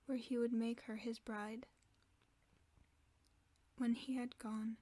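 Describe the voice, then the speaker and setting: A woman reading a fairy tale aloud for sleep in a soft, steady whisper. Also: a little high, dry recording. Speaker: ASMR storyteller.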